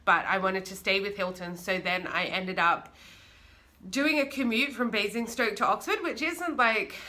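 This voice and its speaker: An Australian woman in her thirties, chatting casually to her viewers about her life with a croaky voice and plenty of fillers. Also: medium pitch, moderate pace, pushed and bright. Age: 30s. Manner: chatty, rambling with many fillers. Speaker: vlogger. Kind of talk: casual chat to camera.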